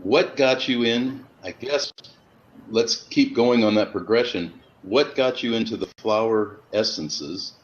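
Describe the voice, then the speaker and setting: Deep-voiced man in his fifties hosting. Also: a little slow. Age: 50s. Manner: repeats words, stumbles on starts. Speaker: webinar host. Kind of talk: casual interview hosting. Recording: dull audio with faint noise.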